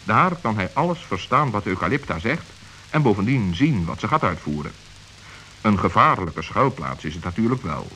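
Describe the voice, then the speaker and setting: A man narrating a children's radio play in a calm, even storytelling manner. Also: on the low side, normal speed, a little hiss or hum.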